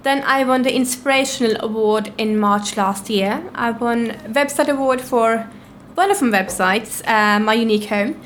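A woman from Norway speaks as a podcast guest in a fairly high voice. She is plain-spoken and encouraging, with clear noise in the background. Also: normal speed, hardly any pauses. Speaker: podcast guest, business owner. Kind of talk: conversational interview answers.

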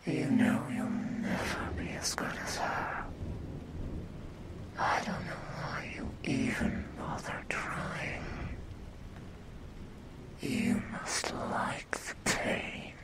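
Woman acting a slow, whispering, sinister demon girl. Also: low voice, animated, heavily acted. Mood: mocking, menacing. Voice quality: breathy whisper, mostly unvoiced. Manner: slow, mocking, condescending, theatrical delivery. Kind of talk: whispered ASMR roleplay. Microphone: close binaural microphone.

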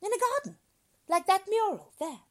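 A woman's voice: extremely high pitch, sing-song, moderate pace, darkish tone, voice pushed up. A woman voicing a severe, pompous old male doctor who lectures emphatically in a dramatic story reading. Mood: grand, luminous. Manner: emphatic, declamatory.

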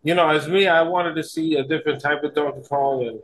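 A man chatting casually as a podcast guest, excitable and playful, with slangy talk. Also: a little high, a little fast, a little hiss or hum, rough recording. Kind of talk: casual chat.

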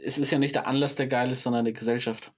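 A man's voice: mid-range voice, fairly even intonation, fast, slight background noise. A man in his thirties co-hosting a podcast in casual banter, joking and mixing English words into his talk. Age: 30s. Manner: jokey, drops English words into speech. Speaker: podcast co-host.

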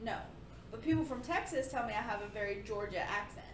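A woman's voice: fairly high pitch, a little fast, recorded from a distance. A woman co-hosting a podcast in casual chat, teasing and playful. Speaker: podcast co-host. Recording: echoey room, off-mic, faint noise.

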